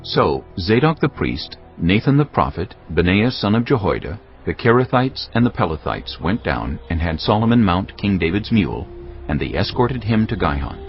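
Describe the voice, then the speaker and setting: Deep-voiced man narrating scripture, very animated pitch. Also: moderate pace. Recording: dull lo-fi audio, music bed.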